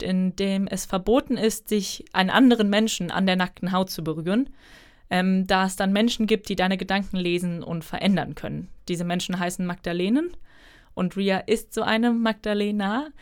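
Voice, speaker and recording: A woman's voice: mid-range voice, moderate pace, darkish tone, clean tone. A young woman in her twenties, a German author, answering interview questions in a chatty, thoughtful way with frequent fillers. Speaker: author guest.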